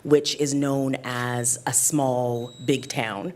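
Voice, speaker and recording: A woman's voice: very deep voice, fairly even intonation, slow pace, slight background noise. A young woman from Ottawa, Canada, speaking in a friendly, articulate way as a panel guest. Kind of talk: casual panel discussion.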